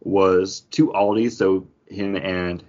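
A man's voice: sits low, steady tone, slow, warm tone, dull audio. A man co-hosting a podcast in casual chat, with a joking manner. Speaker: podcast co-host.

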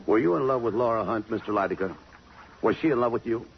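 A tough police lieutenant with a low voice, firing off blunt, dry questions in slangy style. It is old radio drama, muffled and hissy. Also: male voice, fast, gravelly. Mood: matter-of-fact. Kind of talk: 1950s radio drama acting.

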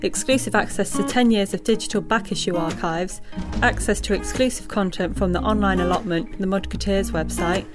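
A woman's voice: medium pitch, brisk. A woman reading a scripted promotional message as an advertisement announcer. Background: plucked guitar music.

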